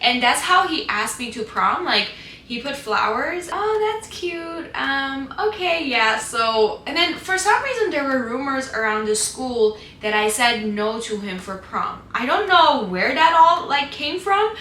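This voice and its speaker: Chatty Armenian-accented woman, bright voice. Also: high voice, animated, a little slow, reverberant, slight background noise. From Iran. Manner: chatty, frequent "like" fillers, candid. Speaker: vlogger. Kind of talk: casual get-to-know-me chat while doing makeup. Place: at home, getting ready.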